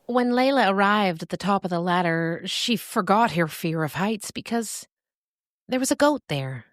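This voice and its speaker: A woman narrating scripted fiction as a storyteller, with expressive, deliberate phrasing and dramatic pauses. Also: medium pitch, no echo, clean studio sound.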